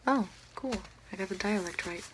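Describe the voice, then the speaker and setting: A young woman speaking softly and close up in an ASMR doctor roleplay, gentle and hushed, often slipping toward a whisper. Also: mid-range voice, normal speed, very noisy. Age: young adult. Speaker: ASMR performer playing an alien doctor. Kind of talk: ASMR roleplay, soft-spoken medical check-up. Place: small room at home, indoors.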